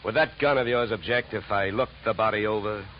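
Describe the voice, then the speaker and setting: An actor playing a cool, brisk, sardonic insurance investigator in a vintage radio detective drama. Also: male voice, lowish voice, natural voice. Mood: dry, sardonic. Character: cool, wisecracking freelance insurance investigator, male. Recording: dull, hissy vintage radio recording.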